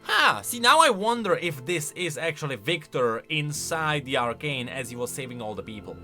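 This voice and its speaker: A male video host reacting casually to game lore in a conversational, questioning way. His voice is bright and fairly high, swinging animatedly up and down over faint music. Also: very animated, normal speed. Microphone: close studio-style microphone.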